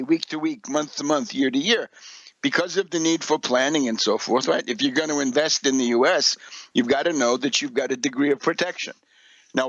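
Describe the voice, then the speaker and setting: A man's voice: on the high side, fairly bright tone, no echo, muffled recording. A man giving an opinionated, off-the-cuff political monologue as a radio commentator, emphatic and sardonic, lecturing with rhetorical asides.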